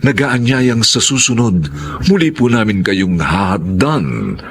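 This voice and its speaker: A man with a Filipino accent hosting a radio drama, reading formal scripted announcements in a theatrical, measured delivery. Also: on the low side, very animated, husky.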